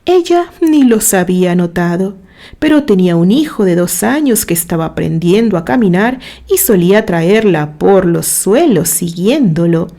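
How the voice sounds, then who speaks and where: A woman reading a literary story aloud in a dramatic, expressive way, with measured phrases and pauses. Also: on the low side. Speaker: narrator reading a written story.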